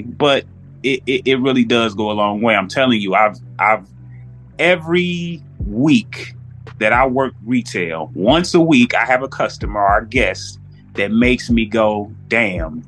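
A man's voice: fairly low pitch, expressive, a little slow, a bit bright, faint music behind. American man, casual slangy podcast guest. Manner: heavy casual swearing and slang.

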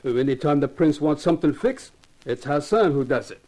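A man's voice: on the high side, expressive, moderate pace, darkish tone. A man playing an easygoing, deferential ex-seaman turned guard, talking in a folksy, colloquial way in a 1950s radio drama. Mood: wary warning.

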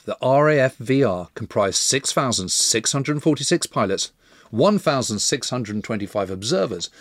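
A man narrating a scripted history documentary with measured, emphatic storytelling. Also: mid-range voice, no room sound, clean studio sound.

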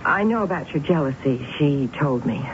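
An actress playing a tense, brooding middle-aged woman who narrates her own dark story in a 1970s radio drama. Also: deep voice, lively intonation, normal speed. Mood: anxious. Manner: intense, brooding. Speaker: narrator and lead character. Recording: tinny, hissy old radio broadcast.

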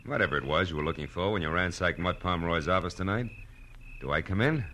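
An actor playing a hard-boiled American private eye in a 1940s radio drama, narrating and talking in a dry, sardonic, clipped way. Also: male voice, sits low, quick pace, bright, forceful tone. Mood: pressing. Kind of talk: 1940s radio detective acting, first-person narration and dialogue. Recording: noisy old radio recording.

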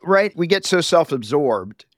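A man's voice: on the high side, very animated, unhurried, dry recording, studio quality. A middle-aged man hosting a podcast, chatting conversationally, laughing easily and acting out quoted voices as he asks questions. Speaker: podcast host. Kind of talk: podcast interview.

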